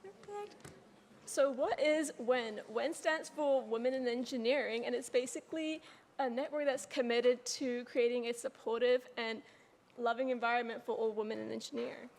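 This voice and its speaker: High-voiced young New Zealand woman presenter. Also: normal speed, darkish tone, long reverb tail. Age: young adult. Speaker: student presenter. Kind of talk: presenting to an audience.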